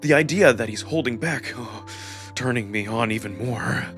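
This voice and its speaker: A man narrates a scripted story in the first person over background music. His mid-pitched delivery is expressive and acted, leaning into the emotion of each phrase. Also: normal speed. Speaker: narrator and voice performer of a visual novel. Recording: music behind the voice.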